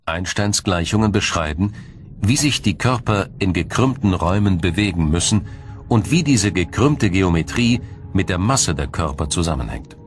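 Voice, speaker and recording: A man reading scripted documentary narration in a measured, explanatory way. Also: low-pitched, expressive, breathy edge. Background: music.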